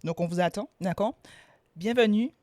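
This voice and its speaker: A woman hosting a podcast interview, chatty and lively, asking friendly questions. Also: mid-range voice, normal speed, no room sound. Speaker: podcast host.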